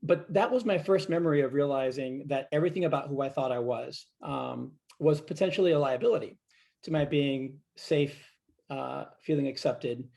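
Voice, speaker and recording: Cuban-born man with a gravelly voice. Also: mid-range voice, a little mellow. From Cuba. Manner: reflective, measured, with frequent fillers. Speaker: guest speaker. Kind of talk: conversational storytelling in a fireside chat. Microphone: video call.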